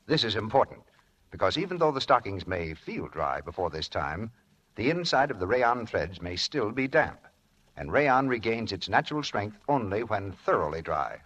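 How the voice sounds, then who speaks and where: Guy doing old 40s radio ad, lowish husky voice, lively pitch, dull sound. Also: moderate pace. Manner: polished, instructive sales delivery. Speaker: radio announcer. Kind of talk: 1940s scripted radio commercial.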